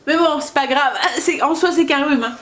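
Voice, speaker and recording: A woman's voice: high-pitched, moderate pace, fairly bright tone, slight background noise. A woman in her thirties with a stuffy nose from a cold, chatting casually while cooking at home, hesitant with many fillers. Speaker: family vlogger. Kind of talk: casual cooking vlog chat. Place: home kitchen.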